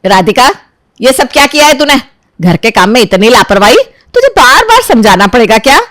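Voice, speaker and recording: A woman voicing a quick-tempered, domineering older mother-in-law in a story, nagging and accusing with sharp questions. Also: on the high side, big pitch swings, no echo, pitched above the performer's own voice. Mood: outraged, scolding.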